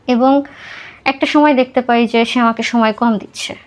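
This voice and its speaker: Young bangladeshi lady, higher voice, chatting thru her life story as a guest, drops in english words. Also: fairly even intonation, normal speed. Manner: conversational, mixes in English words. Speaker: podcast guest telling own life story. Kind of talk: personal storytelling in an interview.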